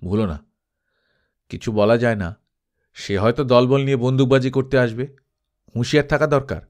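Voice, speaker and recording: A man voicing a cautious, level-headed male adventurer with calm firmness in a dramatised audiobook. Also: medium pitch, normal speed, lightly acted. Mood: warning.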